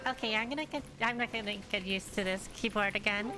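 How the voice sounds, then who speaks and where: A woman's voice: medium pitch, fast. A woman chatting casually as she streams a game, informal and rambling, with game music behind her. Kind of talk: casual gameplay chat. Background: game music and battle sounds.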